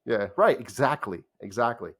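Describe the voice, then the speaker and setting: A man in his fifties from New Jersey hosting a podcast, chatty and quick to laugh, often restarting and trailing off mid-thought. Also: mid-range voice, animated, fairly bright tone, husky. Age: 50s. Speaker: podcast host. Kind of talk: casual podcast chat.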